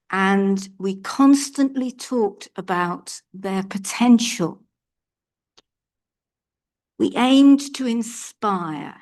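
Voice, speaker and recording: Slow, measured older woman. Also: medium pitch, darkish tone. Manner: measured, earnest. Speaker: public speaker at a council meeting. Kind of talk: prepared public statement. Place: council chamber.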